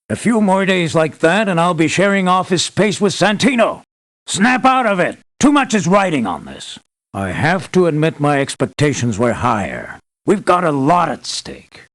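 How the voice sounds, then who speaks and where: An actor playing a blunt, anxious and demanding boss who hires mercenaries in a video game, speaking in an emphatic, articulate, businesslike way. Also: male voice, fairly high pitch. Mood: measured. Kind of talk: video game character voice lines.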